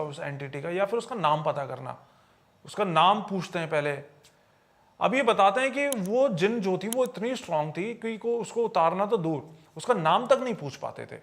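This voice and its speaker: A man's voice: sits high, creaky. A man telling stories conversationally on a podcast, explaining as he goes and checking in with his listener.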